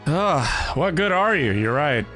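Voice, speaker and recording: A woman streamer reacts casually to a game. Her voice is on the low side and very lively in pitch, with playful, blunt asides over faint music. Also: unhurried.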